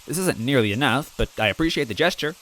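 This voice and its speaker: A man's voice: mid-range voice, animated, fast, a bit bright, slight background noise, voice pushed up, lightly acted, rough recording. A man voicing a cheery, grinning male bean-man who sells beans from a cart, talking in a chirpy, folksy, friendly way. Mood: grateful.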